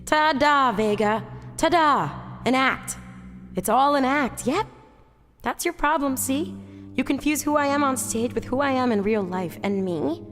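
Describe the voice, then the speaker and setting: An actress playing a sardonic, guarded femme fatale stage performer, theatrical and secretly vulnerable, in a noir audio drama. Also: female voice, high-pitched, animated, unhurried, fairly bright tone, in a large hall, faint music behind. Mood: bitter, theatrical. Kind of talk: noir radio drama acting.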